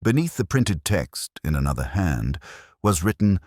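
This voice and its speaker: A man narrating a scripted first-person detective story in a measured, formal, literary manner. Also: sits low, sing-song, a little mellow, no room sound, clean studio sound.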